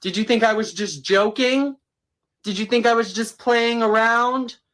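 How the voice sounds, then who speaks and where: Slow theatrical woman, mock menace. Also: on the high side, fairly even intonation, fairly bright tone. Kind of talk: dramatic comedic clip.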